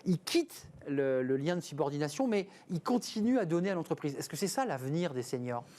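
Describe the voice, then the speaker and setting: Mellow-voiced man asking probing questions. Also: on the high side, big pitch swings, a little fast. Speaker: host, interviewer. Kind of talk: radio interview questions.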